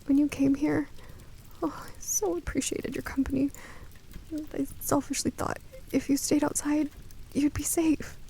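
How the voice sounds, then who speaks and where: Woman doing an anxious motherly older lady for radio drama, high creaky voice, very theatrical and protective. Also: level tone, unhurried, warm tone, a little hiss or hum, low-quality recording. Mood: distraught, guilty. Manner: theatrical, emotional.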